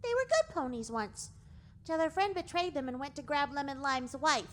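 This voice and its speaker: Perky pink pony mare, extremely high, swooping intonation, earnest, all-knowing, audiobook cartoon character. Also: female voice, animated, moderate pace. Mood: knowing, insistent. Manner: earnest, dramatic, emphatic.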